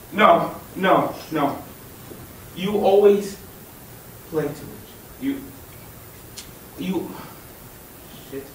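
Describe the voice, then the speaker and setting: A young man playing an angry, defiant student who speaks slowly, stumbles over his words and then bursts out. His voice is heard from far off in a very reverberant hall with faint noise. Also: fairly high pitch, a little mellow. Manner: halting, repeating himself, then bursting out. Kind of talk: stage monologue.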